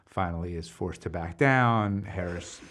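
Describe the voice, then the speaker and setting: A man with a slightly low voice hosts a podcast interview. He talks informally, explaining points and putting questions in a conversational way. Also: a little slow, fairly bright tone. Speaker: podcast host.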